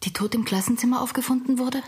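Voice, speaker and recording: An actress playing an alert police colleague in a radio crime drama, matter-of-fact, precise and inquisitive. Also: female voice, a little high, fast, darkish tone, slightly rough. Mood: inquiring.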